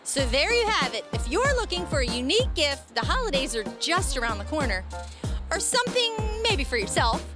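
A woman's voice: sits high, very animated, a little fast, over loud music. An American woman in her thirties hosting a local shopping show, chatty and enthusiastic, with upbeat promotional delivery. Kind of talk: upbeat promotional presenting to camera. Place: small home decor shop, indoors. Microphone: handheld microphone.

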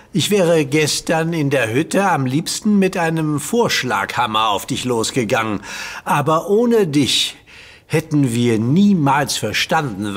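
Earnest young hero, lively and slightly husky. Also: male voice, medium pitch. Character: young male superhero leader, earnest.

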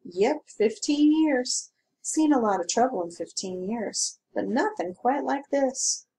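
A woman reads as a grumbling small-town lawman, a middle-aged man with a folksy rural drawl who fills the silence with gab. He sounds higher and louder than the narration around him, and slow-paced. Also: lively intonation, heavily acted, low-quality recording. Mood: chatty. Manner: folksy drawling talk, sour then gabby. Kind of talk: audiobook character reading.

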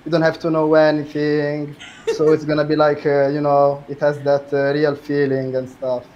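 A man's voice: on the high side, completely flat delivery, moderate pace, lo-fi sound, a little hiss or hum. A young man chatting as a speed date contestant, laid-back and hesitant, with many fillers. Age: young adult. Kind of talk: casual chat. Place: indoor room. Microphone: headset on a video call.

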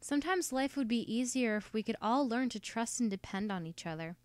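A woman's voice: fairly high pitch, animated, fairly quick, dry recording, natural voice. A woman playing an independent young woman in a reflective, self-assured drama monologue. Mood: reflective, earnest.

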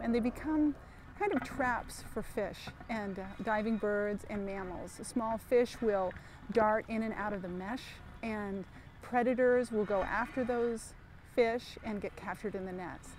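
A woman giving an explanatory, plain-spoken documentary interview as a representative of an organization. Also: a little high. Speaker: marine debris organization representative. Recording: clear engine and outdoor noise.

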